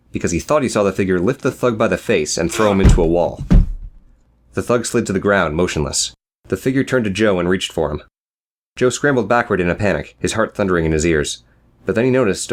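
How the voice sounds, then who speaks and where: A man narrating a scripted, dramatic story as an audiobook reader. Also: sits low.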